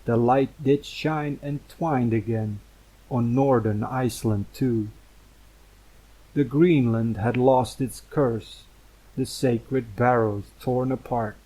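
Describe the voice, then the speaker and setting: Guy reading poetry, real slow n mellow voice. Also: medium pitch, rough recording. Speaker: poem reader. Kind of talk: poetry recitation.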